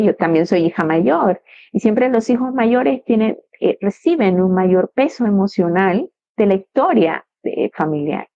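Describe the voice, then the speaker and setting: A middle-aged woman co-hosting a reflective conversation, speaking calmly and thoughtfully. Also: medium pitch. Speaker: podcast co-host. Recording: dry, slightly dull audio.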